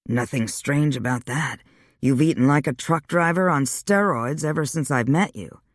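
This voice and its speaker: A woman voicing a centuries-old male vampire who speaks in low, clipped, dry replies. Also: moderate pace, no echo. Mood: calm.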